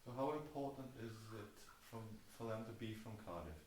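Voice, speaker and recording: A man interviewing a guest, asking brisk and direct questions. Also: on the low side, expressive, a little mellow. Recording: distant, echoey room with noise.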